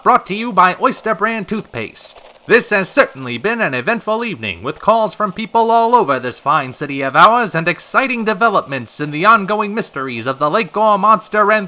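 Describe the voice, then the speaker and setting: Man playing bright, high-voiced old-time radio showman. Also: sing-song, moderate pace, few pauses, full character voice. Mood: polished announcing. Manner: theatrical, polished announcer delivery. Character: flamboyant old-time male radio show host, excitable showman. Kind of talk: 1940s radio acting.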